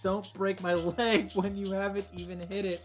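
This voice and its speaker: A man's voice: high voice, little pitch movement, moderate pace. A man reading a visual novel's first-person narration aloud in an earnest, dramatic way and commenting as he goes. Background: game music.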